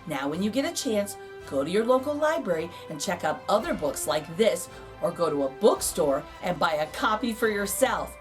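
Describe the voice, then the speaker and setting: A woman reading a children's picture book aloud as host, expressive, drawing out words for drama. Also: on the low side, moderate pace, music in the background. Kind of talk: animated storybook read-aloud for children.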